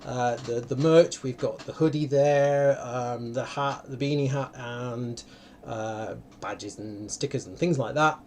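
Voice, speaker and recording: A man hosting an online live event in a casual, unscripted way, often halting and interrupting himself. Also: mid-range voice, a little mellow, slight background noise. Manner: rambling, halting, self-interrupting. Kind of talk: casual, unscripted livestream talk. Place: indoor room, online video call.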